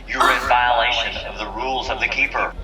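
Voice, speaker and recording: Guy acting smug menacing dystopian leader man, formal talk, echoey with loud music behind. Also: mid-range voice, steady tone, a little fast, close to an everyday voice. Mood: stern, condescending. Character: condescending grown male leader enforcing harsh dystopian codes. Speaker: voice actor in audio fiction. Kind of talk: audio drama acting.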